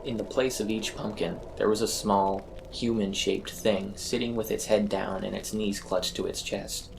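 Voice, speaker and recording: A man's voice: lowish voice, flat delivery, moderate pace, creaky, a little hiss or hum, poor audio. A man narrating a scripted first-person horror story as its storyteller. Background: eerie ambient music.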